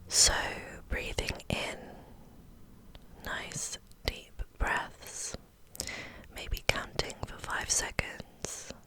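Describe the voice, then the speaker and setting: Asmr lady whispering a guided relaxation, super slow n soothing, all breath. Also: poor audio. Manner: gentle, soothing, unhurried instruction. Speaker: ASMR channel host.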